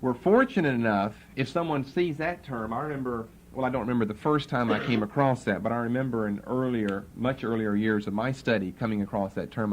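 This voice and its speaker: A man giving a Bible lecture to a class, spelling out words letter by letter and repeating key terms slowly. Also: mid-range voice, slight background noise. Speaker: Bible teacher. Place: classroom with students writing.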